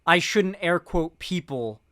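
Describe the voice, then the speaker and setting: A man's voice: fairly high pitch, animated, measured pace, pushed and bright, dry recording, studio quality. A man giving casual political commentary while stoned, rambling with lots of fillers and restarts.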